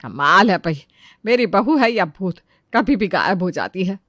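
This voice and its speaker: Woman doing a nagging middle-aged saas, sarcastic n exasperated, sharp bright voice, very dry studio sound, comedy story. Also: mid-range voice, big pitch swings, a little slow, no room sound. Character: exasperated middle-aged mother-in-law, sarcastic, loud, scolding. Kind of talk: animated family comedy story acting.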